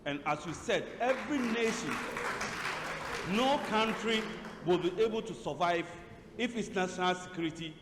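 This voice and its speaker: A Ghanaian man in the audience puts a formal, emphatic question to the speaker. His voice sits a bit high and sounds roomy, with a long hall tail and faint background hiss. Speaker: audience member asking a question.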